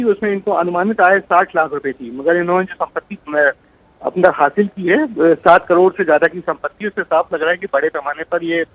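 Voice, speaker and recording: A man reporting live news unscripted over a phone line, halting and often repeating words. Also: fairly high pitch, no room sound. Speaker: news correspondent. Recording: thin, narrowband phone line.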